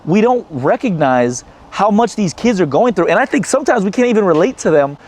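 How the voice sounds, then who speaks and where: A man's voice: high voice, big pitch swings, a little fast, a little mellow. A young American man, a former teacher hosting the talk, speaking earnestly and reflectively in casual slang. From United States. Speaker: podcast host, former teacher. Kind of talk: casual heartfelt conversation.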